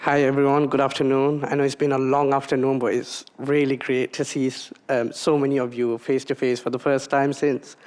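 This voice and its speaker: A man's voice: medium pitch, level tone, brisk, few pauses, darkish tone, clean tone. A young man from Bangladesh who grew up partly in the UK, introducing himself to an audience as a panelist. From Bangladesh, then the UK from childhood. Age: young adult. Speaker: youth director, panelist.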